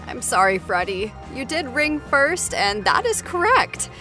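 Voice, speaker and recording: A woman's voice: sits high, very animated, measured pace, sharp tone, soft background music. A woman hosting a playful trivia game show in a bubbly, upbeat manner. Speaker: show host.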